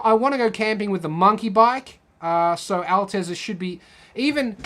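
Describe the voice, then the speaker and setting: A man's voice: high voice, fairly bright tone. A young man casually chatting on a live stream, rambling, reading comments aloud and swearing freely. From Australia. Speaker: channel host.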